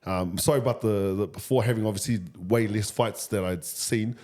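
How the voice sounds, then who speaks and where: A man's voice: fairly low pitch, steady tone, moderate pace, a little mellow. A man with a New Zealand accent hosting a casual interview, enthusiastic, matey and informal. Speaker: podcast host.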